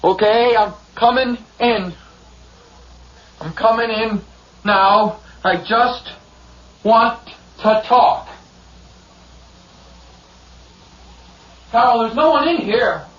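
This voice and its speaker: An actor playing a frightened, protective husband in a radio horror drama, talking in urgent, agitated bursts. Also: male voice, extremely high pitch, slow pace, fairly bright tone, dull audio, full character voice. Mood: anxious, urgent. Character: frightened husband, protective, steadying then frantic in the dark.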